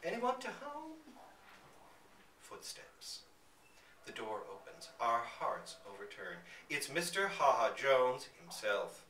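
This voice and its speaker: Male narrator for live story reading: bright, highly animated, theatrical phrasing, picked up from a distance in a reverberant room. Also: slow pace. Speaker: reader at a live literary reading. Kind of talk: expressive scripted story narration. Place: bookstore public reading. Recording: echoey room, distant mic, faint background noise.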